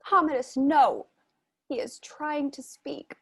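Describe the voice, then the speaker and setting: Woman acting pleading Roman princess, slowish delivery. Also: high-pitched, steady tone, exaggerated voice. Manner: gentle, pleading. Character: Roman princess, pleading, merciful daughter of dying emperor. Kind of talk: dramatic table-read acting.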